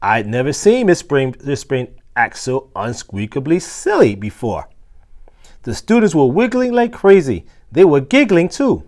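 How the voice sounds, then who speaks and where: A man reading a children's story aloud in an expressive storytelling style, acting out dialogue with the odd stumble. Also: medium pitch, a little slow. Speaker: assistant principal reading a children's book aloud.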